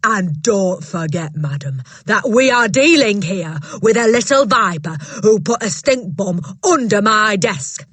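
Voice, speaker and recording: Booming bully of a headmistress, a woman reading her. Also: expressive, measured pace, few pauses, dry recording. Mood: barking scorn. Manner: barking, shouting, contemptuous. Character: bullying, booming female headmistress, huge and scornful. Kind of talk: audiobook character voice.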